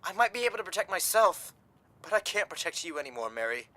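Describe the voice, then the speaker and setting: A man acts out a desperate young gangland man in a radio drama, guilt-ridden and fiercely protective. The voice is bright and earnest, with lively, emotional swings in pitch. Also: fast, heavily acted. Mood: anguished.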